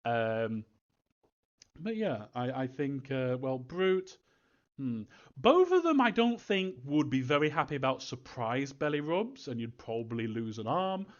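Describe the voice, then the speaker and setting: Halting man in a dull lo-fi recording. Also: medium pitch, sing-song. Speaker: visual novel developer answering fan questions. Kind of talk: informal live Q&A, thinking aloud and reading questions.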